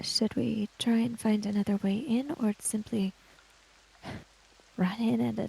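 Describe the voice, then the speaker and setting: A woman as an earnest, halting samurai woman. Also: a little high, steady tone, vocal fry, dark tone, slightly rough, full character voice, poor audio. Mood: anxious, cautious. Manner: halting, formal speech.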